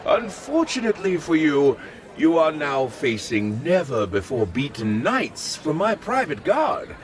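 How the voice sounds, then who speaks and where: Game villain-host: a grandiose high-born lord, highish voice, hugely animated, florid and theatrical. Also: male voice. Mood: grand, proclaiming. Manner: grandiose, florid, drawn-out delivery. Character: pompous, theatrical powerful lord and tournament host. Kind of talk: medieval fantasy game cutscene acting.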